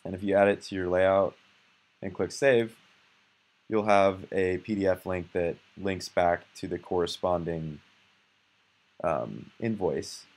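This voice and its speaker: A young man with a deep, low voice talks through a screen recording at a relaxed pace. He sounds unscripted, with plenty of "um"s and restarts, and mouse clicks are heard behind him at a desk mic. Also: measured pace, pauses often. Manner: relaxed and conversational, with fillers and self-corrections. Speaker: software developer demoing his app. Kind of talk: casual screencast walkthrough.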